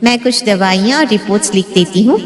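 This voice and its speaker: A woman's voice: medium pitch, fairly quick, lightly acted. A woman playing a stern, authoritative doctor giving advice in a family story drama. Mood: stern, advising. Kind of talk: scripted story drama dialogue. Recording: echoey room with long reverb.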